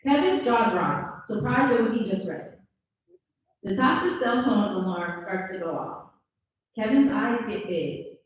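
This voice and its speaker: Woman narrating script, very echoey room. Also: medium pitch. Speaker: stage directions narrator. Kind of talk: scripted narration at live table read. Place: live indoor table read at a mixer event. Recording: slightly dull, very echoey, distant pickup.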